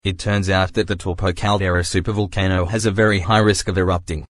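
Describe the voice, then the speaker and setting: A man's voice: low-pitched, little pitch movement, fast talker. A man giving a scripted emergency broadcast announcement in a formal, impersonal, synthetic-sounding way.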